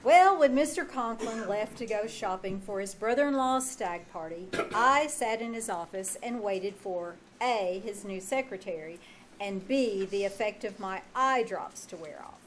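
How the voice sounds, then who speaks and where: A woman playing a wry, quick-witted English teacher in an old-time radio comedy, with dry, sardonic delivery. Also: mid-range voice, expressive, slight background noise, natural voice. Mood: wry, chatty. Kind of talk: old-time radio comedy acting before a live audience.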